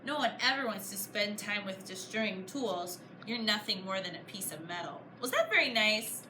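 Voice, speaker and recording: A woman reading a storybook aloud to a class of children, pausing to put questions to them in an encouraging, teacher-like way. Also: mid-range voice, animated. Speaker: author reading her book to a class. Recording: echoey room, clear background noise. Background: children answering, room noise.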